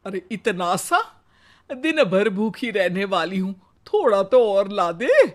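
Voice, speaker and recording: A woman acts out an old Indian mother-in-law from a comic cartoon tale. She is bossy, greedy and quick to take offence. Her scolding comes in slow, theatrical lines at an ordinary female pitch. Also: sing-song. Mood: snappy. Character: bossy, gluttonous, short-tempered old Hindi mother-in-law. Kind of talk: comic animated moral story acting.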